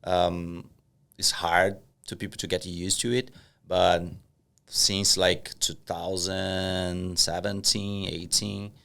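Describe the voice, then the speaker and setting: A man from Brazil with a Brazilian Portuguese accent, a podcast guest chatting casually in English, halting with many fillers. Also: deep voice, little pitch movement, gravelly, bright, forceful tone. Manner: hesitant, many fillers, laughs easily. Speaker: podcast guest, professional poker player. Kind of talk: casual interview chat.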